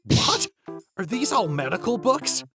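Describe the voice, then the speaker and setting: An earnest, easily flustered young guy from a manga dub, acted by a woman. The voice sits fairly low for a woman and swings up and down with lively pitch. Clear music plays behind it. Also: a little slow. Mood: admiring. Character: earnest, generous young male student smitten with a girl. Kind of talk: manga dub dialogue and first-person narration. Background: background music.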